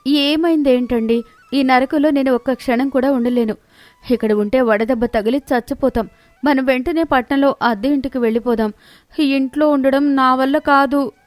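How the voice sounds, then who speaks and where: A woman plays a young city wife in a moral story. She is spoiled, stubborn and full of whining complaint, often pitched up, in a dry, close recording. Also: fairly even intonation, normal speed, dry recording, natural voice. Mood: exasperated. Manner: complaining, demanding.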